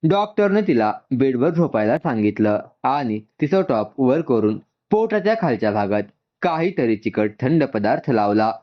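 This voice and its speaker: A male storyteller with a lively, swinging pitch reads a scripted tale. His voice sits in a middle register. The recording is a little dull and muffled. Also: moderate pace. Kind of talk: scripted story narration with dialogue.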